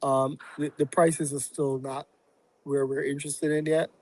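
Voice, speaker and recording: A man from Barbados, a guest on a podcast, talking through his plans in a reflective, halting way with many fillers. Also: on the high side, level tone, darkish tone, no echo, low-quality recording. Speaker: real estate investor and guest. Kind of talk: casual podcast interview.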